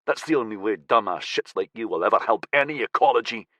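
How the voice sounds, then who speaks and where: A man voicing a terrified, furious young man held captive, shouting and swearing in panic. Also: lowish voice, animated, fast talker, no echo. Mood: panicked, furious.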